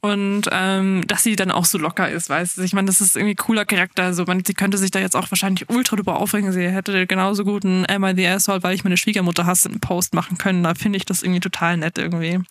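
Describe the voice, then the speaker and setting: A woman whose first language is Afrikaans reads a funny online story aloud on a podcast, then chats about it in a giggly, easygoing way. Her voice sits at an ordinary middle pitch for a woman. It is recorded close and dry, with no room sound. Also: flat delivery, fast talker, few pauses, a bit bright, no room sound. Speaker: podcast co-host. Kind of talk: casual chat, reading a story aloud.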